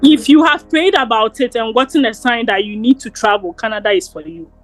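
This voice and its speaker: A woman's voice: on the high side, a little fast, soft background music. A young Ghanaian woman with a Ghanaian accent, talking earnestly as an interview guest, often repeating words. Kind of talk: casual interview.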